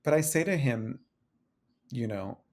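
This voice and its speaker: A man who is a guest on an interview show, talking casually, animated, restarting and repeating phrases. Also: mid-range voice, measured pace. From Cuban-American. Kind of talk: casual conversation. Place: New York City.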